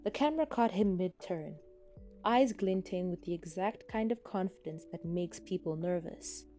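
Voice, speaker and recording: A woman reading scripted fan fiction as a dramatic narrator, with expressive, deliberate phrasing. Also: fairly low pitch, faint music behind.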